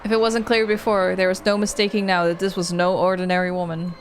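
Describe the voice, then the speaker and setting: A woman's voice: fairly low pitch, level tone, quick pace, a little hiss or hum, lightly acted. A woman playing an earnest horror-game protagonist, reading the narration in a dramatic storytelling manner. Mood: tense dread.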